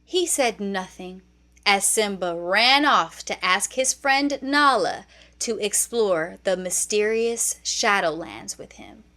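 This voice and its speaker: A woman narrating a children's read-along storybook in an expressive, deliberate storytelling manner. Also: medium pitch.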